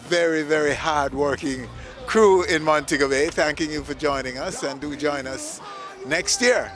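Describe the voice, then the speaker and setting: A man presenting a broadcast sign-off in formal, measured phrases. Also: fairly high pitch, music in the background.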